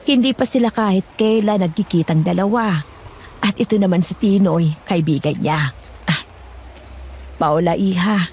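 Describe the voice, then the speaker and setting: A woman's voice: medium pitch, a little mellow, husky. A woman playing a polite, worried middle-aged aunt in an audio horror drama.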